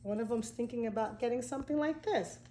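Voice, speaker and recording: A woman teacher reading a storybook aloud to children, expressive and speaking directly to young listeners. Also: on the high side, fast talker. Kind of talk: storybook read-aloud with asides. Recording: echoey room.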